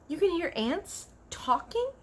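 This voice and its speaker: A woman's voice: extremely high pitch, very animated, slow, voice pushed up, exaggerated voice. A woman voicing a curious, polite young girl in a children's storybook, full of amazement and eager questions. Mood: amazed, curious. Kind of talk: animated children's storybook character voice.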